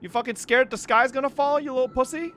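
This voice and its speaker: A woman's voice: high-pitched, fast, fairly bright tone. A woman doing casual livestream reaction commentary, blunt and mocking, swearing and talking back to the characters. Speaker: streamer reacting to a game story. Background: game music.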